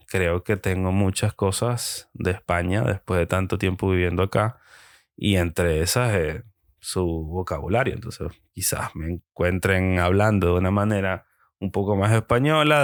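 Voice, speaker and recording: A young Venezuelan man with a Venezuelan accent hosting a solo podcast, talking reflectively and pausing often with hesitant fillers. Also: deep voice, no room sound, studio quality. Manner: hesitant, with frequent ums and pauses. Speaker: podcast host.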